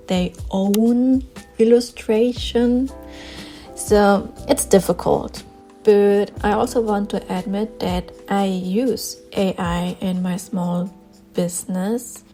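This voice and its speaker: A woman's voice: mid-range voice, warm tone. A woman with a German accent, a non-native English speaker, giving her views earnestly while she draws for her channel, sometimes searching for words. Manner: earnest, plain-spoken, with small word searches and repeats. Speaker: artist vlogger. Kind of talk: casual talk over a drawing session.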